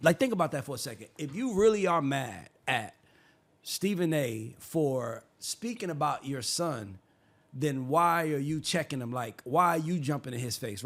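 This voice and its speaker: A man hosting a sports opinion show, arguing his case in a heated, preachy way and sometimes building to shouts. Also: mid-range voice. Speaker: show host.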